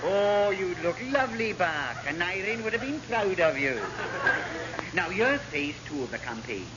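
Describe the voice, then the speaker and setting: Scheming Welsh sailor, high and animated. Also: male voice, moderate pace, dull audio. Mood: conspiratorial. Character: scheming, ambitious Welsh naval rating, gleefully vengeful. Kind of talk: classic British radio sitcom acting. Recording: noisy, band-limited vintage radio recording.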